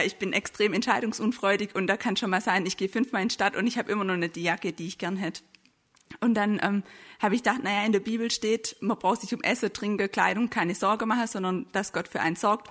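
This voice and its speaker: A woman's voice: medium pitch, a bit bright. A woman giving a personal testimony at an event, telling her story in a chatty, anecdotal way. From Germany. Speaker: testimony speaker.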